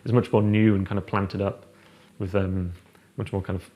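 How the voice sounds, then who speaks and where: A young architect chatting casually while showing a room in a house, rambling with many fillers and restarts. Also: male voice, deep voice, little pitch movement, moderate pace, dull audio. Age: young adult. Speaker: architect guest. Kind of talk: casual chat, house tour. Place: large open-plan house living room, indoors.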